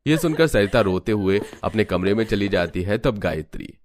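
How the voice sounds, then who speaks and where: A man's voice: lowish voice, lively intonation, moderate pace, soft background music. A man narrating a scripted cartoon family story.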